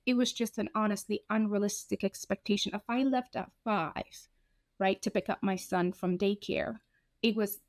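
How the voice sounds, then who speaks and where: Frank, animated woman from Ethiopia. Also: medium pitch, normal speed, dry recording, clean studio sound. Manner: frank, animated, acts out quoted dialogue. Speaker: guest. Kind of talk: candid interview storytelling.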